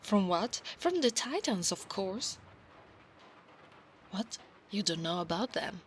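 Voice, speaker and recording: A woman acts out a proud centaur warrior maiden of a holy order. She has an Italian accent and speaks slowly, in formal, imperious phrases at a medium pitch. It is an ASMR roleplay with noticeable noise behind the voice. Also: sing-song. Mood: commanding, impatient. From Italy. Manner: formal, archaic phrasing, haughty and commanding. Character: proud, bossy, obsessive female centaur holy knight.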